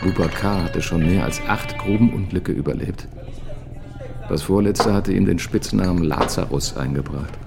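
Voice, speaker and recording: A man narrating a literary audio drama from a script in a measured, matter-of-fact manner. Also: deep voice, vocal fry, music in the background.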